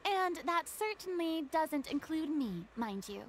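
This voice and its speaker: A woman's voice: high voice, very animated, a little slow, noisy background. A woman voicing a proud, theatrical young woman in a game, grandiose and boastful. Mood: haughty. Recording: game audio with music behind.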